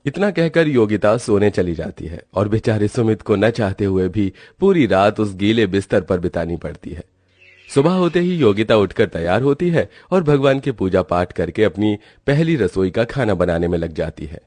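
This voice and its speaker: A man's voice: fairly low pitch, expressive, moderate pace, darkish tone, no echo. A man reading scripted storybook narration as the narrator of a tale.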